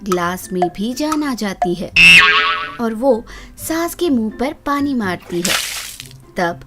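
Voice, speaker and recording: Female storyteller for children's tales: unhurried, expressive, high-pitched, with clear background music. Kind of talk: scripted narration of children's fairy tale. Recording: prominent music behind the voice.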